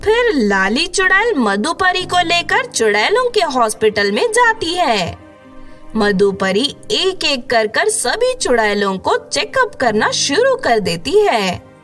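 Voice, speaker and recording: A woman narrating a children's witch story in a steady storybook manner. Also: high-pitched, takes it slowly, soft background music.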